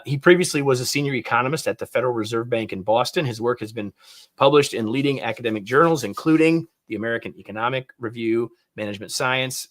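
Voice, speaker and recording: A man's voice: on the low side, fairly bright tone. An older man hosting a leadership podcast, reading promos and chatting with a joking manner. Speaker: podcast host. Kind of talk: podcast interview.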